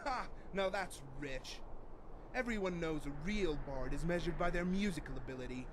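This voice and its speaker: A scornful, vain bard from a fantasy game, acted by a man, with a fairly high voice and a pompous sneer. Clear ambient noise runs behind him. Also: moderate pace, close to an everyday voice. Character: haughty rival male bard, scornful and vain. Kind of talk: fantasy video game voice acting.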